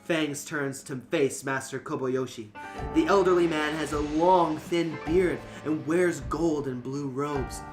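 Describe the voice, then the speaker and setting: A man's voice: on the high side, measured pace, over music. A man co-hosting a reading show, narrating a fantasy story and discussing it casually. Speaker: narrator and co-host.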